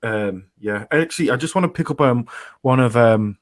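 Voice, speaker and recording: A man co-hosting a podcast, explaining points in a measured way with some fillers. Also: medium pitch. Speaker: podcast co-host. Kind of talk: casual chat.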